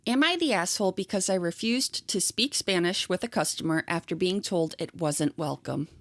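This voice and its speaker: A woman co-hosting a podcast, chatting casually and reading online stories aloud in a steady storytelling way. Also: fairly low pitch, moderate pace, dry recording. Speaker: podcast co-host.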